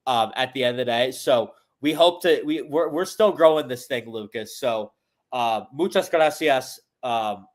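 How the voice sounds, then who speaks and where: A man's voice: medium pitch, moderate pace. Rambling male podcast host. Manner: rambling, many restarts and fillers. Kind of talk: casual podcast chat.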